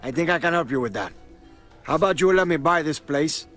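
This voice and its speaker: A man's voice: on the high side, expressive, a little fast, a bit bright, faint music behind. A man voicing a brash, profane Cuban drug lord in a video game cutscene, with a swaggering Cuban accent. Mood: confident dealmaking.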